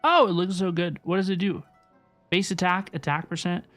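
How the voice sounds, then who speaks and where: A woman's voice: on the low side, steady tone, normal speed. A woman giving casual, chatty playthrough commentary as a livestreamer, often repeating phrases for emphasis. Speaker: video game livestreamer. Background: soft game music.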